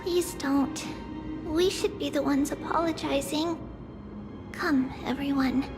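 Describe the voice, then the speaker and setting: A woman voicing a solemn young seer in a video game, guiding visitors through memories in measured, formal, wistful speech. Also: sits high, fairly even intonation. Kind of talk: video game voice acting, story narration. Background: game music.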